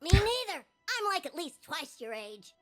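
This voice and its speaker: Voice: very high voice, sing-song, normal speed, soft background music. An actor playing a small, cheeky, childlike male sea creature in a video game, playful and teasing.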